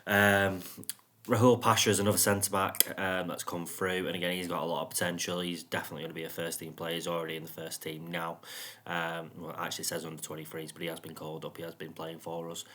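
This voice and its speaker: A man with a self-described monotone voice, casually commenting on his football management game, rambling with plenty of ums. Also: low-pitched, fairly quick. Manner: rambling, frequent ums and "you know what I mean". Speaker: gaming YouTuber.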